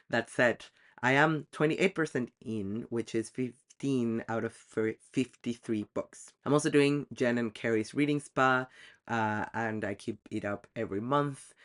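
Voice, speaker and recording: A man's voice: mid-range voice, a bit bright. A man talking casually to camera about his reading goals, chatty and self-deprecating, with frequent ums. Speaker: book vlogger.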